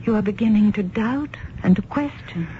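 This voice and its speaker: An actress playing a mysterious woman from another world in a radio drama, speaking formally, deliberately and with hypnotic insistence. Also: mid-range voice, highs cut off, lightly acted. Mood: solemn. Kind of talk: old-time radio drama acting. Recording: band-limited old radio recording with noise.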